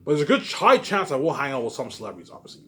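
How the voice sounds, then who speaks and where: A man in an unscripted, repetitive and halting monologue to viewers, sounding aggrieved and letting phrases trail off quietly. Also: medium pitch, wildly swooping pitch, slight background noise. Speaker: online vlogger.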